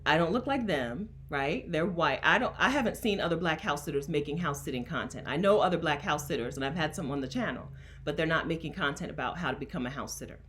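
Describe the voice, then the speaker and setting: A woman's voice: on the low side, fairly bright tone, slight background noise. A woman from Delaware, a coach hosting a casual livestream and talking to her chat in an emphatic, chatty way, laughing often. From Delaware, USA. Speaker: coach and host.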